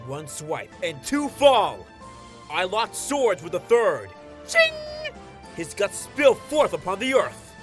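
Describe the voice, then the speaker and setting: A man's voice: high voice, sing-song, takes it slowly, soft background music, heavily acted. A man playing a theatrical swordsman who tells of battle bombastically, in game-character style. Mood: dramatic relish. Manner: bombastic storytelling.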